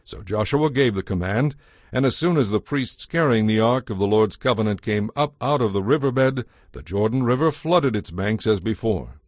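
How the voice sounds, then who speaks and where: Low-pitched man narrating scripture solemnly. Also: animated, moderate pace, no room sound, clean studio sound. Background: background music.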